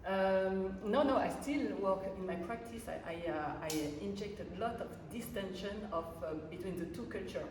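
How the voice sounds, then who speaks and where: A woman with a French accent answering on a panel, halting, with many restarts and fillers. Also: mid-range voice, a crowd in the background. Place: large gallery room. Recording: echoey gallery hall from far off, noisy.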